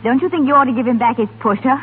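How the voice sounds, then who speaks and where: A woman's voice: sits high, very fast, slightly rough. An actress playing a composed, light-hearted young English lady in a 1930s radio drama. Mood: poised. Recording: tinny, very noisy 1930s radio.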